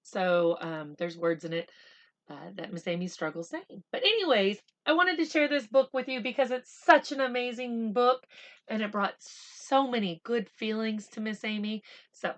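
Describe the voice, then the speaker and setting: A woman reading a picture book aloud like a teacher, slow and expressive in the story, chattier when she stops to explain words simply for children. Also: a little high. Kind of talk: read-aloud storytelling with casual explanatory asides.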